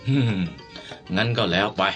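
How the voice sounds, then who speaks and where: An actor in a radio drama playing a stern, possessive noble father who gruffly orders and threatens his servants. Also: fairly high pitch, lively intonation, brisk, bright, forceful tone. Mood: grudging, threatening. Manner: gruff, imperious, menacing. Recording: studio radio drama with music.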